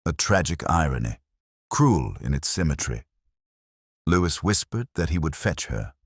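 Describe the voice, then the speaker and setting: A man reading a first-person detective story in a measured, reflective and sombre literary manner. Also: a bass voice, sing-song, slightly rough, no echo, studio quality. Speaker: narrator.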